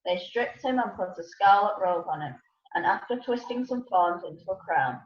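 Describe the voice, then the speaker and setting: A woman's voice: mid-range voice, animated, moderate pace. A woman narrating a scripture passage as part of a dramatised reading. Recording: echoey room, slightly muffled.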